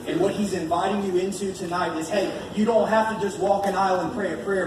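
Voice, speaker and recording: An American man preaching an informal sermon in a colloquial, emphatic way, repeating phrases to drive them home. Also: sits high. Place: large echoey room at a live church gathering. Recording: echoey room from far off, noisy.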